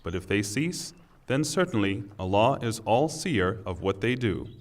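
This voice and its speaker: A man reads translated scripture in a lowish voice, solemn and formal. His phrasing is deliberate, yet the pitch moves in a lively, expressive way. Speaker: translation reader.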